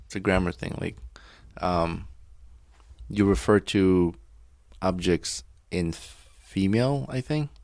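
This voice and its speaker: A man's voice: sits low, slow pace, vocal fry, a little mellow, no echo. A man whose first language is Armenian, co-hosting a casual chat over beers, laughing often and picking at word choices. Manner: laughs often, joking and nitpicky. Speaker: podcast co-host.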